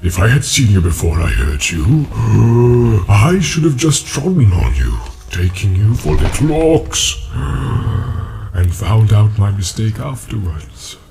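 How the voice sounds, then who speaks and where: An ancient, ponderous tree giant for a fantasy audiobook. The voice is low and very drawn-out, yet it swells and dips widely as it muses warily. A clear bed of ambient sound lies behind it. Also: male voice, sing-song, warm tone, slight background noise, exaggerated voice. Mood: puzzled. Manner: slow, deliberate, drawn-out hums and musing repetitions. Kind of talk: audiobook character acting.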